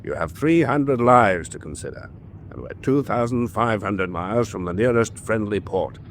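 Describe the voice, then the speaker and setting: An actor playing a cool, probing submarine officer in a wartime radio drama, with a deliberate, pointed delivery. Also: male voice, fairly low pitch, lively intonation, a little hiss or hum. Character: cool, probing senior U-boat officer, captain's frank confidant.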